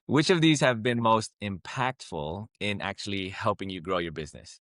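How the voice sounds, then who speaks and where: A man with a Filipino accent hosts a podcast. His voice is fairly low, and he thinks out loud as he reflects on his guest's points, padding his sentences with the odd "like" and "I guess." The sound is dry and close, with no room echo. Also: normal speed. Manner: reflective, thinking aloud with fillers. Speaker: podcast host. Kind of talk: podcast interview.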